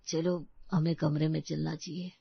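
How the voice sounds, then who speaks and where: A woman voicing a gentle, long-suffering old grandfather in a storybook tale, mild and reassuring. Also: deep voice, level tone, moderate pace, creaky, husky, dry recording, lo-fi sound, exaggerated voice. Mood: resigned, resolute. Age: older.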